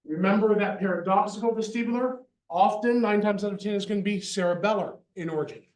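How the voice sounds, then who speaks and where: Animated man lecturing in an echoey hall. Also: high-pitched, quick pace, distant mic. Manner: animated, joking, says "y'all" and "right?". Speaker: veterinary neurology lecturer. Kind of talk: university lecture. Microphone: handheld or lapel microphone.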